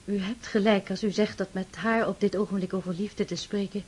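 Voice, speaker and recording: An actress playing a gentle, wise young noblewoman in a radio play, speaking in a calm, measured way. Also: mid-range voice, slightly rough, natural voice. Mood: reassuring.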